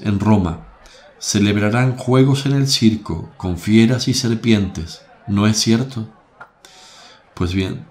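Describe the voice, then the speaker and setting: A man voicing a grave, calm teacher figure in a radio play. His voice is fairly low and unhurried, and every phrase comes out solemn and deliberate. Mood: gentle. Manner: slow, solemn and measured. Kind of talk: radio drama acting.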